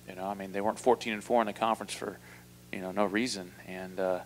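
A man in his forties, a basketball coach answering press questions after a loss, measured and halting with many fillers, speaking into a table mic in a press room. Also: lowish voice, slight background noise, poor audio. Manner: measured, flat delivery with many fillers and restarts. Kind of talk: postgame press conference answers. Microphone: table mic in front.

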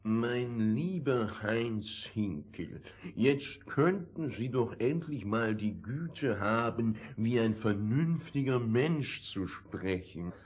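An actor playing a pompous, fussy, over-polite know-it-all in a fairy-tale radio play, speaking in a stilted, pedantic way. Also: male voice, medium pitch, lively intonation, slow, husky. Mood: chiding.

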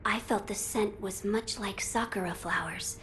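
A woman's voice: medium pitch, moderate pace, fairly bright tone, a little hiss or hum, natural voice. Woman voicing a solemn, formal young noble boy. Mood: earnest, gracious. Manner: formal, earnest, polite. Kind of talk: video game voice acting.

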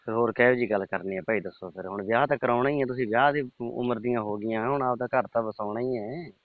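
A man's voice: medium pitch, fast, no room sound. A middle-aged man, having a casual private chat over the telephone. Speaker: uncle on a phone call. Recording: tinny, band-limited phone call recording.

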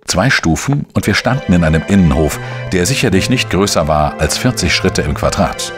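Low-voiced, wry investigative journalist for crime audio drama; laconic yet expressively inflected. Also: male voice, lively intonation, normal speed, over music. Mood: brooding narration. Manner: sardonic, laconic. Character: dry, defiant male investigative journalist, narrating his case. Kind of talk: radio play dialogue and first-person narration.

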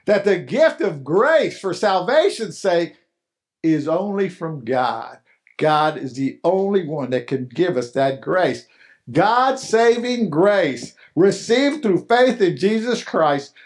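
Slow, high-voiced older preacher. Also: male voice. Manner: repeats key phrases, rises to emphatic questions. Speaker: pastor. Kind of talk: sermon. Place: small chapel hall, indoors.

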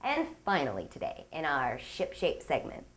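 Woman show host, lower-pitched and very animated, upbeat scripted read with playful asides. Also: normal speed. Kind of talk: scripted magazine-show narration.

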